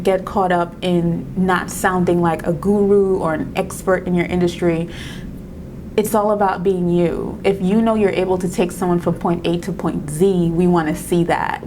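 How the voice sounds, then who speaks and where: Trinidadian-accented woman vlogger, lowish voice, encouraging and laughing often, steady rumble underneath. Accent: Trinidadian mixed with New York. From Trinidad and Tobago. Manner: warm-hearted, encouraging, often laughing, restarts words. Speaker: video creator giving tips. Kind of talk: casual talk to camera.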